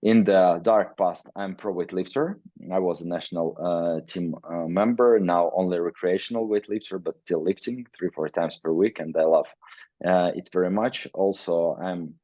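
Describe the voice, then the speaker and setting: A man from Ukraine giving a webinar talk in halting English, with frequent fillers. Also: sits low, mellow, no room sound, dull audio. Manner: halting non-native English, many fillers. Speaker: guest presenter, weightlifting researcher. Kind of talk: webinar presentation. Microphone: video call.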